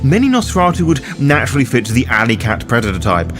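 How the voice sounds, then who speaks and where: A man narrates a scripted guide as its host. His mid-pitched voice rises and falls in an animated way, with a wry, informal manner. Music plays behind him. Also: fast. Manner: wry, casually sweary asides. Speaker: host of a roleplaying game guide.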